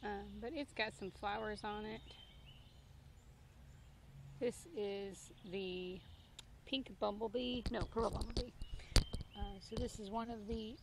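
A woman in her thirties chats casually as she narrates a tour of a backyard garden. Her voice sits at a medium pitch. It is recorded outdoors on a handheld phone, with clear environmental noise behind her. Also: fairly even intonation, slow, pauses often. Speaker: gardening vlogger. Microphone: handheld phone at arm's length.

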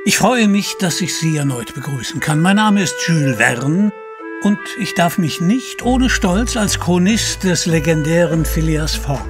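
French author-narrator: florid showman, fairly high male voice, music underneath. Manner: courtly, theatrical, florid. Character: grandiloquent French male author-chronicler greeting his audience. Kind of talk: scripted audio drama narration.

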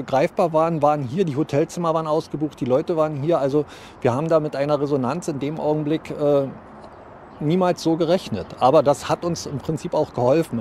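Mellow, slightly high middle-aged man outdoors. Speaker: police press spokesman. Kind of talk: outdoor documentary interview. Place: outdoors by a building entrance. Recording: faint outdoor street noise.

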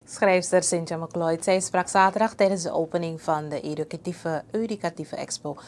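Low-voiced woman news anchor. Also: fairly even intonation, moderate pace, a little mellow, a little hiss or hum. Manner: formal, clear reading. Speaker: news presenter. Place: TV studio.

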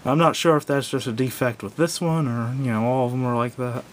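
Male reviewer, medium pitch, casual and unscripted, halting and self-correcting, home recording with slight rumbling noise. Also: steady tone. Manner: hesitant, rambling, self-correcting. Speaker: product reviewer. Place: indoors at a wooden table.

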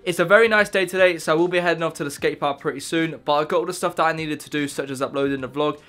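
A young man talking casually to the camera in a daily vlog, his voice croaky from a sore throat, chatty and upbeat with lots of fillers. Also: on the high side, quick pace, a bit bright. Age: young adult. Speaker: vlogger. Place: bedroom, then outdoors at skate park and street.

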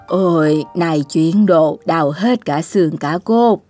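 A woman's voice: low voice, slow, mellow. An older cartoon woman speaks. She is matter-of-fact and inclined to grumble and dismiss. Light music plays behind her. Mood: grumbling. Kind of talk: animated cartoon voice acting.

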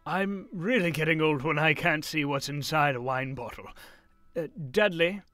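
Bright-voiced, wistful old professor, a man acting. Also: a little high, moderate pace, dry recording, faint music behind, lightly acted. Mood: curious, puzzled. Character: elderly male history professor, wistful and warm-hearted. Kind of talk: film-adaptation audio drama acting.

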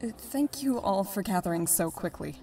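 A woman playing a stern, solemn merfolk ruler in a comic dub, speaking in a measured, speechmaking way. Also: medium pitch, expressive, far from the mic, music in the background, natural voice.

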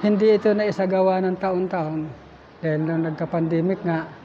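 An older man, a founding church member, answering an interview haltingly with many pauses and fillers. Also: high voice, level tone, mellow. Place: church hall, indoors. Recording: dull, noisy room audio.

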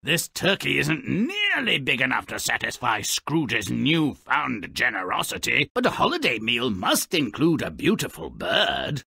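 A man voicing a mischievous, grumpy little creature as a sardonic video game narrator, with a gravelly, raspy voice and a mocking, grandiloquent manner. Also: mid-range voice, wildly swooping pitch, unhurried, hardly any pauses, bright, forceful tone, no echo, clean studio sound, exaggerated voice. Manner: mocking, grandiloquent, relishing misfortune.

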